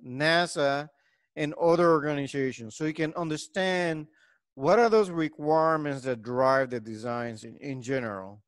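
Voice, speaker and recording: A middle-aged man with a Puerto Rican accent, whose first language is Spanish, delivers an engineering lecture. His voice is slightly high and cleanly resonant, with a dry, close sound. He speaks haltingly, pausing often as he goes. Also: dry recording. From Puerto Rico. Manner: halting, frequent hesitations and pauses.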